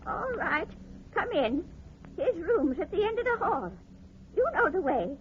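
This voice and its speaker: A woman's voice: very high-pitched, sing-song, unhurried, heavily acted. An actress playing a toothless, demented old woman housekeeper, cackling and eerie in a radio horror play. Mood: eerie, guarded. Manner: cackling, eerie, muttering repetitively. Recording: muffled, hissy old radio recording.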